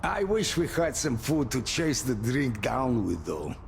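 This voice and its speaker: Gravelly, world-weary male captain. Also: mid-range voice, sing-song, slight background noise. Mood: wry. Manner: deliberate, wry, world-weary. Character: weary, distrustful older male captain of survivors. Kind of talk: cinematic video game acting.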